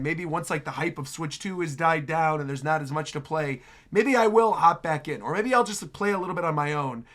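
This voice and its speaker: A man's voice: a little high, a bit bright. A man giving casual, effusive reaction commentary full of slangy exclamations, as the host of a video.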